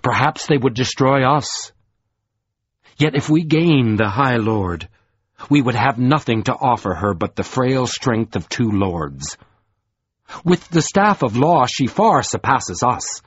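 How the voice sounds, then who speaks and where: A man's voice: medium pitch, lively intonation, husky, no echo. A man reading a stern solemn lord. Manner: measured, formal, archaic speech. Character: solemn grave male lord, stern, weary. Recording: dull lo-fi recording.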